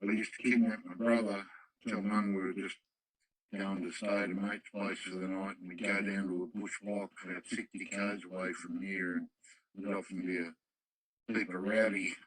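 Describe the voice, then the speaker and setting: An Australian man chatting casually on the radio, telling personal stories in a gentle, hesitant, reflective way. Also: deep voice, level tone, normal speed, husky, no room sound, rough recording. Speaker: radio club participant. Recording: dry radio-app voice transmission.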